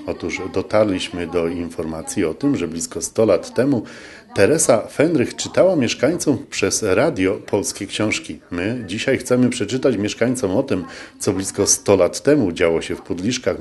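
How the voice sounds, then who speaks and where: A man's voice: deep voice, expressive, normal speed, darkish tone. A man reading scripted narration for a local news report.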